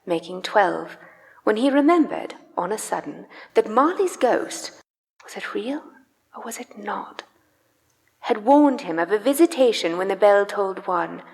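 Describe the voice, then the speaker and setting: A woman narrating a live radio play dramatically, with theatrical, emphatic and deliberate phrasing. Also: medium pitch, animated, gravelly, darkish tone. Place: live stage in a church. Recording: long church hall reverb.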